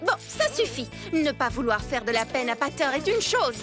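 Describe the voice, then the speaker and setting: Shy cartoon girl, high voice, lively intonation, earnest, hesitant, music behind. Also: moderate pace. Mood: resolute. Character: shy, kind-hearted young animal-loving cartoon girl. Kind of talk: French cartoon dub acting.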